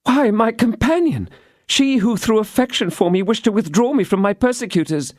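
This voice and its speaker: A frail young woman, breathless and pleading, her voice lifted high and thin, as a male audiobook reader puts her on. Her speech rises and falls sharply. The recording is close and dry. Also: expressive, normal speed, a little mellow, no echo.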